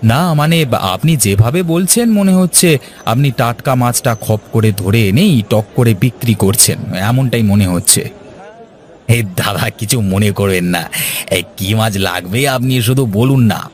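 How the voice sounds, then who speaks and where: A man voicing a pushy, smooth-talking fish seller in a cartoon, coaxing with hesitant fillers. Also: mid-range voice, expressive, moderate pace, lightly acted.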